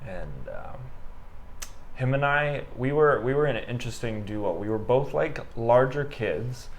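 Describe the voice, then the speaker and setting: A young Canadian man in his twenties, talking in a relaxed, rambling way with many fillers as a guest on an interview podcast. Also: medium pitch, a little slow. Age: 20s. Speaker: guest, amateur boxer. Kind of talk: casual interview conversation.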